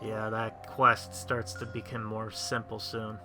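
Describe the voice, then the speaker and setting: A man's voice: on the low side, completely flat delivery, unhurried, music in the background. A young man casually commenting on a mobile game, rambling with many fillers and trailing thoughts. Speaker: let's-play host.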